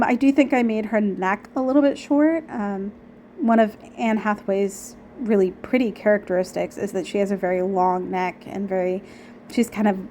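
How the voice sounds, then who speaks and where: A young woman talking casually about her painting, a bit nasal and raspy from a cold, chatty and self-deprecating with lots of ums and likes. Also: medium pitch, a little mellow, slight background noise. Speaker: art vlogger. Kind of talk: casual narration over painting footage.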